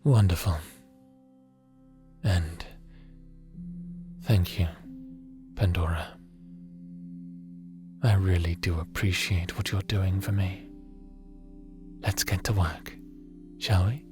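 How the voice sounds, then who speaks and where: A man acts out a calm, quietly scheming god of the underworld in an ASMR audio drama. His very deep voice moves slowly, gentle and reassuring, over faint music. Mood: gently reassuring. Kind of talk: intimate ASMR roleplay acting.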